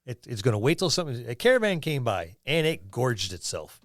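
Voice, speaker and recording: A man co-hosting a podcast, chatting casually and haltingly about tabletop gaming, thinking aloud as he goes. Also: mid-range voice, big pitch swings, dry recording, clean studio sound. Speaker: podcast co-host.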